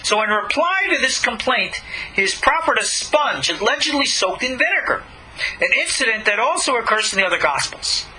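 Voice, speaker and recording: A man reading aloud a religious lecture in an emphatic, dramatic, deliberately phrased way. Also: very high-pitched, very animated, pushed and bright, poor audio. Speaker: rabbi giving a religious lecture.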